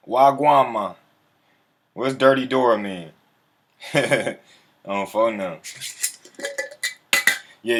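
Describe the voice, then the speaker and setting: A man's voice: lowish voice, a bit bright. A man hosting a casual livestream, talking in a laid-back, slangy, profane way and chuckling often. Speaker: livestream host.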